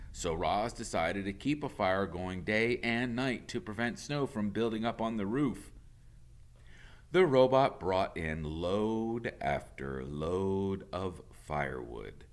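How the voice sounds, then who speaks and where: Slow, low-voiced man reading a storybook. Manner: expressive, drops to soft whispers for quiet moments. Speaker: reader of a children's novel.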